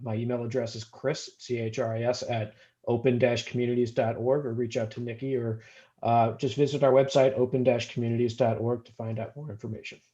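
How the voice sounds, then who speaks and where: Mellow man on a dull call. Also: mid-range voice, little pitch movement. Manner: halting, stammering over words. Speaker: fair housing investigator on a panel. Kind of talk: explanatory panel talk. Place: online video call. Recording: slightly dull video-call audio.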